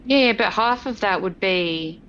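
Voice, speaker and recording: A woman's voice: medium pitch, measured pace, a bit bright, slight background noise. A woman chatting casually as a player in a tabletop game. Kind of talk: casual chat. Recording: dull, lo-fi mic.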